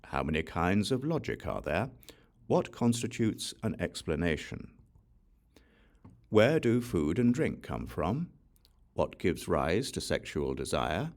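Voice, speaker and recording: A man reading a scripture audiobook, reciting verse in a measured, deliberate and solemn manner. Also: sits low, very animated. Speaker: audiobook reader.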